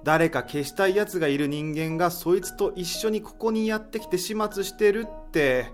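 A man plays a reluctant assistant in an audio drama, skeptical and casually sardonic. His voice is quite high-pitched, and light music runs underneath. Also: normal speed, lightly acted.

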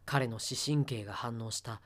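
A man's voice: medium pitch, normal speed. A man reading scripted story narration for an audio drama.

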